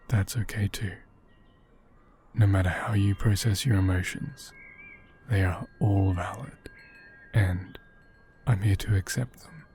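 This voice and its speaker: A man acting as a gentle, protective male caretaker in an ASMR roleplay. His voice is low and mellow, breathy and often whispered. He speaks very slowly, with tender, soothing reassurance. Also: slight background noise. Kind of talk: soft-spoken ASMR roleplay, partly whispered.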